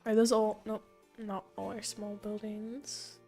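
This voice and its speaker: Young woman streamer thinking aloud, slightly hoarse. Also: medium pitch, little pitch movement, a little slow, dark tone, faint music behind. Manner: thinking aloud, rambling and self-correcting. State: voice fading, hoarse. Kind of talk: casual gameplay commentary.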